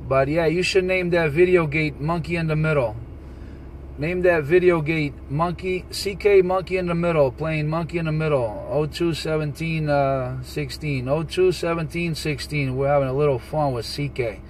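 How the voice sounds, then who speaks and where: A man's voice: on the high side, steady tone, moderate pace, a little hiss or hum. A man chatting casually as a CB radio operator, repeating his phrases and calling people man and brother.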